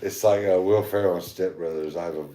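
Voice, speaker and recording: A man hosting a podcast, joking around in casual chat with a very deep voice. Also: fairly even intonation, fast, mellow, reverberant. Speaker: podcast host.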